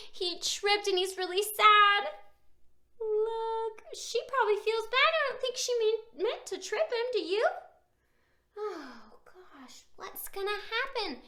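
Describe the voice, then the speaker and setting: A woman's voice: very high voice, animated, takes it slowly, sharp tone. A woman reading a picture book aloud to young children, with exaggerated wonder, sing-song stretched words and questions put to her listeners. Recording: roomy echo.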